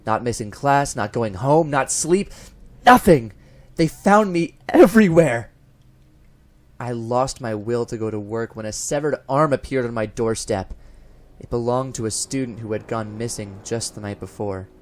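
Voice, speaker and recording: A man playing a haunted college professor unraveling into paranoid dread, narrating a horror audio drama in a formal, deliberate, theatrical manner. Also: medium pitch, lively intonation, natural voice. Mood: frantic, anguished, then grim dread. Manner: formal, deliberate, theatrical, swelling from hushed to frantic. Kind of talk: first-person gothic horror audio narration. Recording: music behind the voice.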